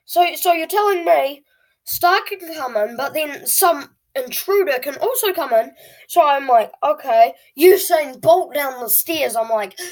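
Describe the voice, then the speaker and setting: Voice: high voice, poor audio. An eleven-year-old child hosting a video channel, telling stories casually to viewers in a dramatic, whiny way. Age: child, eleven years old.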